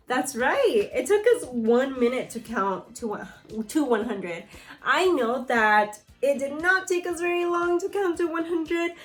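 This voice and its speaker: American woman, primary teacher on a read-aloud. Highish voice, unhurried delivery, expressive, music underneath. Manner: animated, expressive, addressing pupils directly. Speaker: elementary school teacher. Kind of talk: read-aloud lesson for young children.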